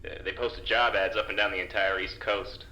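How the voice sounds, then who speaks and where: Sharp-edged, lowish-voiced, guarded security guard. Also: male voice, little pitch movement, normal speed, echoey room, low-quality recording. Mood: reluctant, dry. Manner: terse, hesitant, dry. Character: guarded, plain-spoken male working-class security guard, reluctantly soft-hearted. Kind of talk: naturalistic audio drama acting.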